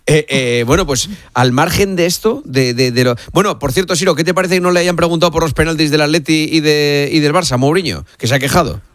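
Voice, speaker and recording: A man hosting a sports talk panel, teasing and lively as he questions his guests. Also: a little high, fairly quick, few pauses, no echo. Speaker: talk show host.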